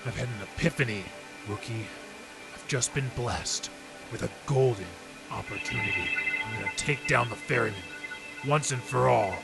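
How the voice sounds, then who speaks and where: A man's voice: medium pitch, normal speed, vocal fry, loud music behind the voice, close to an everyday voice, rough recording. An actor playing a scheming, hard-boiled undercover detective with slangy, emphatic tough-guy delivery in an old-time radio drama. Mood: scheming, intense.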